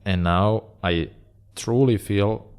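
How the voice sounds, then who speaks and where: A man's voice: lowish voice, slow, darkish tone, clean tone. A man hosting an interview podcast, asking questions in a teasing, friendly way. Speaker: podcast host. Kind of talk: casual interview talk.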